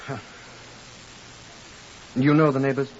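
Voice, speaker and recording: An actor playing a brisk, dry Scotland Yard superintendent in a 1950s radio drama, formal, measured and matter-of-fact. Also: male voice, medium pitch, level tone, lightly acted. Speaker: narrating detective. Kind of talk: 1950s radio drama acting, first-person case narration. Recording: hissy, muffled 1950s radio recording.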